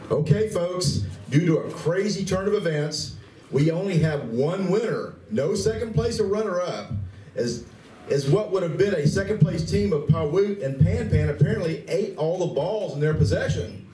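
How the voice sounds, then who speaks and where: A man's voice: sits high, animated, normal speed, warm tone. A man playing a cheerful game coordinator in a radio play, announcing and giving play-by-play. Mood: amused, bemused. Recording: distant, echoey room with faint noise.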